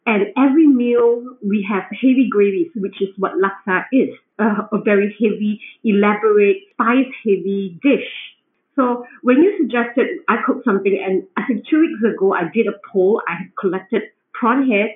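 Singaporean lady guest chatting about home cooking, lots of restarts, thin phone-line sound. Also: on the high side. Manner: chatty, explains with many restarts. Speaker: podcast guest, home cook. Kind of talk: conversational interview. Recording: tinny phone-like remote line.

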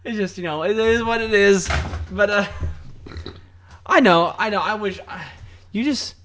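A man's voice: sits high, unhurried, fairly bright tone, muffled recording, slight background noise. A man hosting a podcast in casual banter, teasing his co-host and prodding him with questions. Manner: teasing, prods by repeating names.

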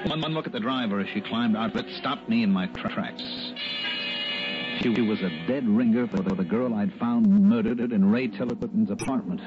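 An actor playing a shrewd, wry private investigator in early sixties radio drama, clipped and probing. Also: male voice, lowish voice, moderate pace, close to an everyday voice. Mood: narrating, wry. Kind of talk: early 1960s radio drama acting and narration. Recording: dull vintage radio recording, music behind.

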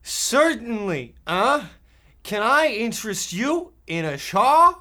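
A man playing a bossy, shifty older brother, a Depression-era boy, halting and mock-folksy in a comic radio play. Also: very high voice, big pitch swings, bright, forceful tone, exaggerated voice. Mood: sly. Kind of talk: amateur comic old-time radio acting.

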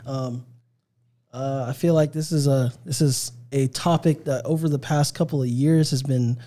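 A man in his twenties, a guest on a casual podcast, speaking in a measured, thoughtful way with many ums. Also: mid-range voice, fairly even intonation, warm tone. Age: 20s. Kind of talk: casual chat.

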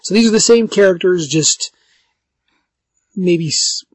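A man with a raspy voice hosting a podcast in casual chat, halting and often correcting himself. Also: sits high, dry recording, low-quality recording. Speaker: podcast host.

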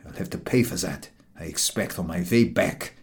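A man's voice: sits low, normal speed, vocal fry, voice pushed down. A man voicing an exasperated traveller in an audiobook, complaining in an aggrieved, contemptuous way. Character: exasperated weary traveller saddled with a stray dog. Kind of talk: audiobook dialogue.

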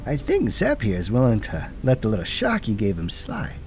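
A cocky, streetwise cop acted by a man delivers sardonic noir audio drama lines. His mid-pitched voice leaps up and down dramatically, exasperated and punchy, with faint music underneath. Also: wildly swooping pitch, moderate pace, darkish tone. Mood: cool, threatening. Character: wisecracking, hard-boiled male cop, cocky and exasperated.

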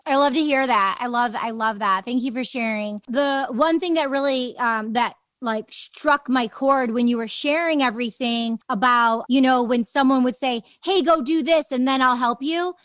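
Woman, high voice, podcast host, chatty, casual, frequent "like", close dry sound. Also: moderate pace, few pauses, fairly bright tone, no echo. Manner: chatty, peppers speech with "like". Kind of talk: casual conversational interview.

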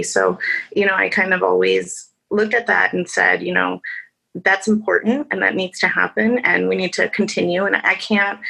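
Gravelly-voiced woman attorney from Chicago. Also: fairly low pitch, pushed and bright, low-quality recording. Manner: halting, many fillers and restarts. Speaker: attorney on a panel. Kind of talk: panel discussion answer.

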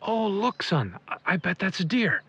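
A man playing a bereaved, brooding middle-aged father in an audio drama, speaking in a halting, folksy way. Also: sits high, very animated, sharp tone, slightly rough, full character voice. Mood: eager, folksy. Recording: slightly muffled recording.

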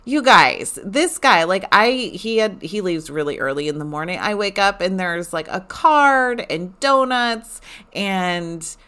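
A woman in her forties chatting casually to her listeners, animated and rambling with lots of fillers. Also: medium pitch, unhurried. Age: 40s. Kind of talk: casual personal chat.

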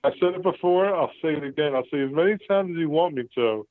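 A man's voice: on the high side, fast talker, dry recording. A man in his fifties calling in to a radio show, earnest and agreeable, laughing often. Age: 50s. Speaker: caller, former co-host. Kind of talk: casual phone-in chat. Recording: tinny phone line.

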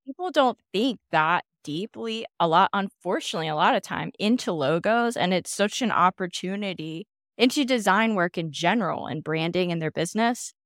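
A woman's voice: on the low side, animated, normal speed, fairly bright tone, dry recording, clean studio sound. Enthusiastic woman podcast host. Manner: enthusiastic, affirming. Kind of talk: casual interview chat.

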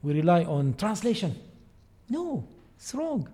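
A man's voice: sits high, sing-song, measured pace, mellow, husky. A man, an Arabic speaker, giving opinions in conversation and emphatically repeating key phrases. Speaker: interview guest.

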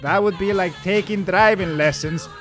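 A man with a slightly high voice talks as a podcast co-host. He is casual and jokey, easily tipped into giggles, as if among close friends. Light music plays behind him. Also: normal speed. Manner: giggly, breaking into laughter. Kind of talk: casual joking chat with friends.

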